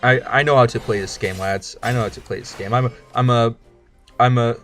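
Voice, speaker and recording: A man's voice: medium pitch, steady tone, brisk, a bit bright, soft background music. A man streaming a card game with casual, rambling commentary, joking around and stumbling over his words as he restarts sentences. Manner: rambling, jokey, stammers and restarts.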